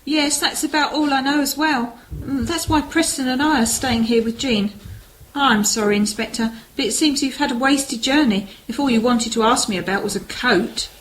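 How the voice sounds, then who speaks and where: A woman acts out a reticent British officer's wife in a radio play. Her high voice is polite, hesitant and apologetic, with faint noise on the recording. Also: moderate pace, lightly acted, low-quality recording. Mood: uncertain, polite. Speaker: actor in amateur radio play. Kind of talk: scripted radio drama dialogue.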